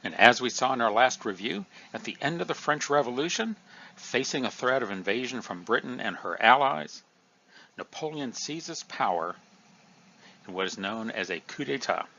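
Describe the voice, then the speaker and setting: A man teaching a recorded history review lesson, explaining in a lively, emphatic way. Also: medium pitch, normal speed, vocal fry, highs cut off.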